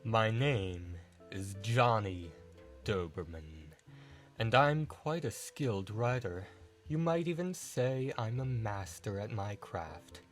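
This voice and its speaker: A man's voice: on the low side, animated, takes it slowly, faint music behind. A man playing a smug, theatrical writer on the witness stand in an audio drama, with a suave, taunting and grandiloquent manner. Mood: self-satisfied.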